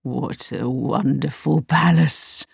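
A slow, gloating old wizard from a storybook, as a woman reads him. He drawls every word in a low, scheming voice. The sound is dry and close. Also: creaky, breathy edge, no echo, exaggerated voice.

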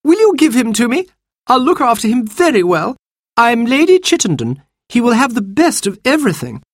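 Man doing a syrupy, high-voiced grand lady who snatches babies, husky tone. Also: very animated, moderate pace, no echo, full character voice. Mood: gushing. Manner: gushing, falsely sweet. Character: grand, sugary society lady, a child-snatcher.

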